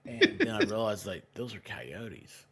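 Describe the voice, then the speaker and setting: Male, bright and forceful, lively pitch swings. Casual, joking livestream co-host banter. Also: a little slow.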